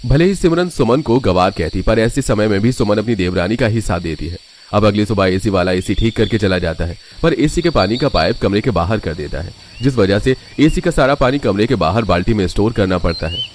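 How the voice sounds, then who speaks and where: Low-voiced man narrating a story. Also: expressive, fairly quick, darkish tone, slight background noise. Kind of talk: scripted cartoon story narration.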